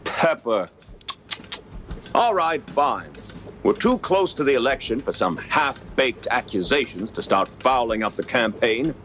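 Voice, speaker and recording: An actor playing a testy, self-important political insider in a noir cartoon game, brusque and condescending in a 1930s manner. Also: male voice, medium pitch, big pitch swings, unhurried, a bit bright, soft background music. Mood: defensive, brusque.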